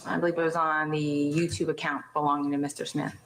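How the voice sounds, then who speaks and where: A woman's voice: low-pitched, flat delivery, quick pace. A woman giving formal courtroom testimony as an investigator. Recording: echoey courtroom, faint noise.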